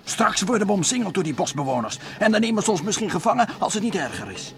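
A man's voice: sits high, sing-song, fast talker, fairly bright tone, faint music behind, full character voice. Een man die in een ouderwets hoorspel voor kinderen een angstige, snel geërgerde ruimtereiziger speelt. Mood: alarmed. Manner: anxious, exasperated, exclamatory. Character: fearful, grumbling space traveller, prone to alarm. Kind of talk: old-fashioned children's radio play acting. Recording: old radio play recording.

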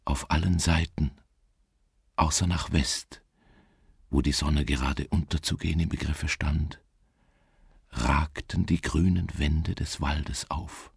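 Give the part to a lonely, dreaming wanderer in a gothic radio play. His voice is extremely low and gravelly, with a husky, hushed grain. He narrates slowly and deliberately, brooding over each phrase in a close, dry recording. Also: steady tone, dry recording, full character voice. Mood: dreamy, hushed. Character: solitary dreamy male wanderer recounting a melancholy gothic tale. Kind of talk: slow literary radio-drama narration.

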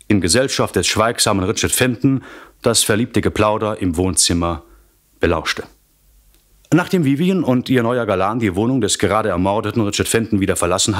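A man with a standard German accent narrates a suspense radio serial in a deliberate, theatrical storytelling manner. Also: mid-range voice, a bit bright. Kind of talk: scripted suspense recap narration.

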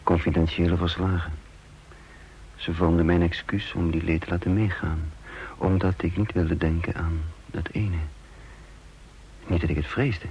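An actor playing a guarded, earnest intelligence chief in a radio drama, musing and hesitant, on a dull, noisy old recording. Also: male voice, low-pitched, flat delivery, a little slow, long pauses, darkish tone. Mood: reflective narration. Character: guarded male intelligence chief, flippant facade over gravity. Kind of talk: radio drama acting with first-person narration.

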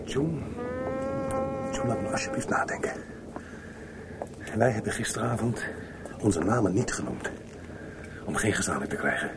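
A noisy radio drama recording of an evasive married lover. His pitch moves widely as he pleads and coaxes, agitated and often hushed. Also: huge pitch swings, normal speed, long pauses. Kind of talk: Dutch radio play acting.

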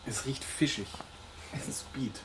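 An actor playing a laconic young pharmacy heir with a dry, teasing manner in a naturalistic radio drama. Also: male voice, unhurried, a bit bright, close to an everyday voice. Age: young adult. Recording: noisy on-location ambience.

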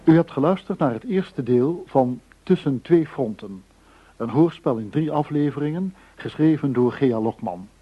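A man's voice: fairly high pitch, expressive, moderate pace, slight background noise. A man reading scripted credits as the announcer of a radio drama. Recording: dull lo-fi radio broadcast recording.

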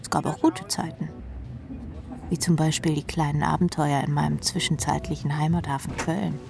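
Sardonic female rock drummer, gravelly fry, dry self-mocking storytelling, radio play narration, music underneath. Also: deep voice, fairly even intonation, moderate pace. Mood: wry nostalgia. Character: sardonic rock drummer woman telling her misadventures. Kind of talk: first-person radio drama narration.

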